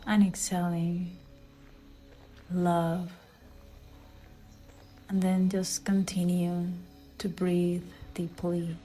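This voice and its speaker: A woman leading a guided meditation in a soft, soothing way, with phrase endings that fall into breathy near-whispers. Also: lowish voice, poor audio. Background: background music.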